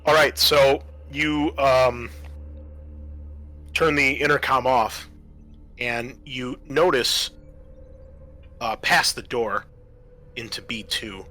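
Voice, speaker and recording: Bright-voiced man narrating a tabletop game. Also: mid-range voice. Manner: halting, pieced-together narration. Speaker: game master.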